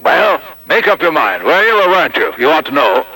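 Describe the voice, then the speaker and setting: A man voicing an older, impatient RAF duty officer on the telephone, gruff, curt and clipped. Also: fairly high pitch, big pitch swings, a little fast, sharp tone, pitched above the performer's own voice, full character voice, low-quality recording.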